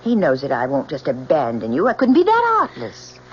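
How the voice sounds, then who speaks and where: Animated, earnest young daughter, old radio. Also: female voice, extremely low pitch, a little fast, exaggerated voice. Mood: earnest, gentle. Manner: earnest, pleading, dramatic. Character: earnest, devoted young daughter torn by loyalty. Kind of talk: 1970s radio drama acting. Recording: dull, noisy old radio recording.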